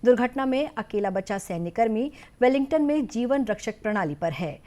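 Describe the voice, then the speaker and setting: A woman reading a scripted news bulletin in a formal, measured manner. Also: on the high side, expressive, mellow. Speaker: news reader.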